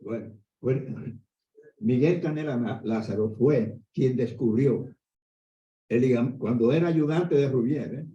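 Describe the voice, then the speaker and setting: An older man, an orthopedic doctor giving a conference lecture in a lively storytelling way. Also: mid-range voice, unhurried. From Dominican Republic. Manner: conversational, animated storytelling with asides. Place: conference hall. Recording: echoey hall, dull lo-fi sound.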